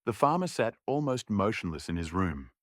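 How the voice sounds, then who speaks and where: Low-voiced man narrating a dark tale. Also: sing-song, moderate pace, no echo, clean studio sound. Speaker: storyteller, narrator. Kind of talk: scripted narration of a folk horror tale.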